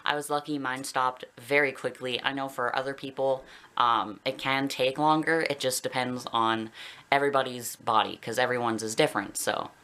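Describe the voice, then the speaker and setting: A man's voice: medium pitch, steady tone, moderate pace, bright, forceful tone, clear, resonant tone. A young adult man with a Canadian accent talking casually and candidly to viewers in a personal vlog, rambling a little. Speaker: video blogger.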